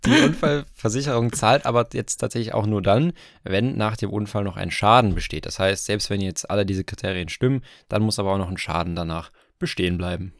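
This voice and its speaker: A man co-hosting a podcast, explaining topics in a brisk, casual way and laying out definitions slowly and deliberately. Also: lowish voice, no echo, studio quality. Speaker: podcast co-host.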